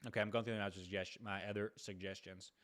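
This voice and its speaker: A man's voice: lowish voice, flat delivery, fast talker, fairly bright tone. A young American man hosting a livestream, chatting casually with streamer slang and some swearing. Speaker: livestream host and prank caller. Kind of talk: livestream chat.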